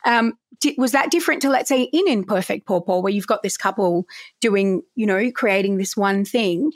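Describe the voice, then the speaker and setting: A woman's voice: moderate pace, dry recording. A woman hosting a podcast, introducing her guest and asking curious questions that lift toward the end. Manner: bright, curious, rises in pitch when asking questions. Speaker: podcast host. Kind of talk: podcast interviewing.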